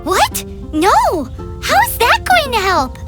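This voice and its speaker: A woman voicing a tiny, childlike fairy companion in a video game, squeaky, cheeky, indignant and blunt. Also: wildly swooping pitch, takes it slowly, full character voice. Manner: blunt, speaks of herself in third person. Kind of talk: animated video game dialogue. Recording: loud background music.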